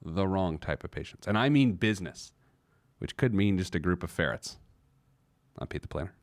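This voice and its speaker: A man's voice: low-pitched, animated, normal speed, no echo. A man hosting a personal finance show in a casual, playfully digressive, self-mocking way, repeating phrases for emphasis. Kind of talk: casual talk show monologue with some letter reading.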